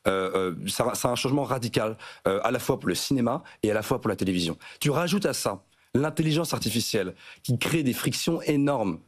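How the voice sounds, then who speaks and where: A man, answering as a business interview guest in a hesitant, halting way. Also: mid-range voice, animated. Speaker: interview guest, startup chief executive. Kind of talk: live broadcast business interview.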